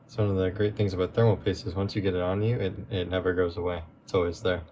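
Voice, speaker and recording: A man hosting a relaxed, chatty repair walkthrough, his voice going a bit hoarse as he works. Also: sits low, fast talker, a little hiss or hum. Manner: relaxed, chatty, with many fillers. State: losing his voice. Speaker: tech repair video host.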